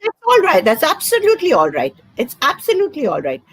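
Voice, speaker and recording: A woman's voice: high voice, huge pitch swings, fast, a bit bright. An older woman from Karnataka with an Indian English accent, a Konkani speaker, giving emphatic advice as an educator in an interview and repeating her key points. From south India, Karnataka. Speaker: educator, guest. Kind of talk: conversational advice.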